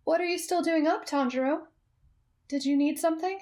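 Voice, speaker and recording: A woman playing an easygoing, agreeable young traveller in an anime fan roleplay, with a soft manner. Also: high voice, brisk, natural voice. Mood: puzzled. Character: easygoing, good-humoured young traveller, friend of the boys.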